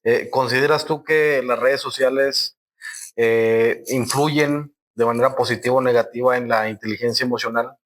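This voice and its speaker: A man's voice: medium pitch, fairly even intonation, moderate pace. A man with a Mexican accent hosting a podcast interview, colloquial and chatty. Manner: colloquial, constant backchannel murmurs. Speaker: podcast host.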